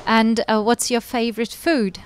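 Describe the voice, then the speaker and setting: A woman's voice: fairly high pitch, steady tone, moderate pace, clean tone. A woman hosting a podcast interview, speaking in a conversational style.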